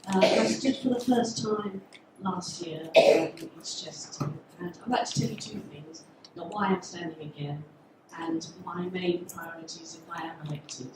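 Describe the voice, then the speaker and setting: A woman from England with a fairly low voice gives a hesitant campaign speech, often pausing on fillers. She stands well back from the mic in a very echoey school hall. Clear background noise runs under her. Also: recorded from a distance. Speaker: local election candidate. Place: school hall at a public meeting.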